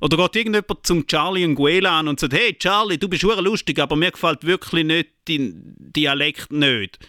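Eastern Swiss German podcast co-host, mid-pitched man, dry close sound, animated rant. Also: normal speed, no room sound. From Eastern Switzerland. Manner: animated, ranting. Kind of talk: casual chat.

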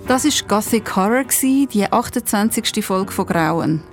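A woman's voice: mid-range voice, expressive, unhurried. A woman with a Swiss German accent chatting casually as co-host in a podcast outro. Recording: faint guitar music behind.

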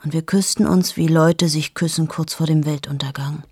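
A woman's voice: deep voice, fairly even intonation, moderate pace, a little mellow, husky, no echo, studio quality. An actress in her thirties narrating a radio play monologue as a restless female journalist, with intimate, confessional storytelling that often drops to a whisper. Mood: brooding. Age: 30s.

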